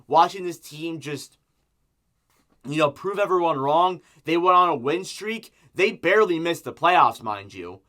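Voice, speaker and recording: A man's voice: a little high, lively intonation, unhurried, sharp tone. A man with a sore throat from strep giving an emphatic, exasperated, opinionated sports rant as a fan making an online video. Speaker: hockey fan YouTuber.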